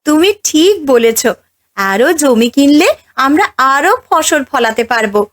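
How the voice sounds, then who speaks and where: A woman voicing a kind, cheerful, supportive farmer's wife in a cartoon, gentle and welcoming. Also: high-pitched, lively intonation, a little slow. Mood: happy.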